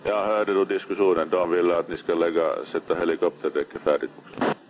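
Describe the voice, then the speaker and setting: Very deep-voiced man on muffled radio. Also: little pitch movement. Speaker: ship officer coordinating a rescue by radio. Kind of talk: marine radio traffic. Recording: muffled, band-limited marine radio transmission.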